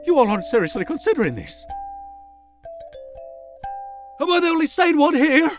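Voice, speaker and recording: A man's voice: very high voice, big pitch swings, rapid-fire, soft background music. A man playing a hot-tempered, sarcastic mobster in a cartoon audio drama. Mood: exasperated.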